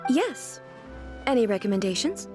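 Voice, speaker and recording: A woman playing a composed, curious female ruler in a fantasy game, speaking formally and with measured, elegant diction. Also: on the high side, sing-song, warm tone, faint music behind. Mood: calm, reassuring. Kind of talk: video game voice acting.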